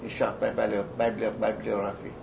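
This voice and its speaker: An elderly man with a Vietnamese accent, whose first language is French, recalls his life in an oral history interview, speaking haltingly with many restarts and fillers. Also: fairly low pitch, flat delivery, gravelly. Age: older. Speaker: interviewee, retired army surgeon. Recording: lo-fi noisy recording.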